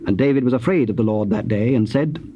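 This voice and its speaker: A man narrating dramatized scripture in a measured, formal storytelling manner. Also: mid-range voice, dark tone. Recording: dull tape with faint noise.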